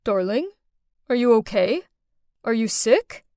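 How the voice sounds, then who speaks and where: A woman's voice: a little high, big pitch swings, slow pace, dark tone, dry recording, clean studio sound. A woman voicing a worried father who speaks anxiously and apologetically. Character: worried father, soft-spoken man.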